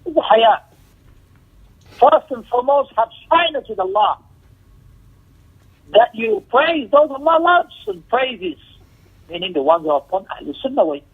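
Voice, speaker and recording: A man's voice: extremely high pitch, very animated, normal speed, long pauses, breathy edge. A man teaching a religious lesson in an emphatic manner, explaining a text and repeating phrases for stress. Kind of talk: Islamic lecture, explaining a text with Arabic recitation and quotations. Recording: tinny, narrow phone-like lecture audio.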